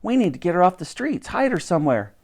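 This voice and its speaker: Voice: mid-range voice, big pitch swings, quick pace, darkish tone, voice pushed up. A storybook narrator voicing a plucky, practical young girl who speaks with urgency. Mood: worried.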